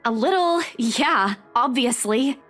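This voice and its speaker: A stubborn young trainee in a visual novel, with a high voice that swings widely in pitch. She sounds flustered and defensive, with music behind her. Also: slow. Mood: sullen. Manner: defensive, expressive. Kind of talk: visual novel game voice acting. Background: background music.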